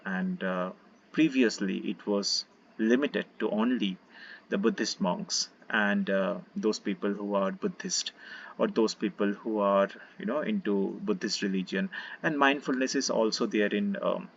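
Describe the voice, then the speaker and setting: Husky Indian-accented man, low voice. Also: measured pace, lo-fi sound. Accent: Indian English. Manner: relaxed, gentle, many fillers, invites listeners to join in. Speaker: meditation teacher. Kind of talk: casual online session talk.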